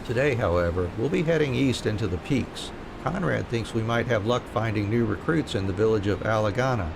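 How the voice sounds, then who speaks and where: A man with a low, creaky voice streams a role-playing game. He reads story dialogue aloud and drops into casual chat, with clear noise behind him. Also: a little fast.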